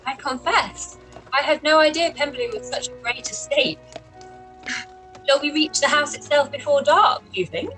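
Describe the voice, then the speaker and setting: A woman's voice: sits high, expressive, moderate pace, sharp tone, soft background music, low-quality recording. An actress playing a spirited, inquisitive young woman in a radio play reading.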